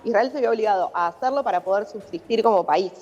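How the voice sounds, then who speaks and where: A woman's voice: medium pitch, quick pace, darkish tone. Argentine woman reporting, in a very echoey room. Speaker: journalist reporting from abroad. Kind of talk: live news interview, explanatory. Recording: muffled remote link, long reverberation.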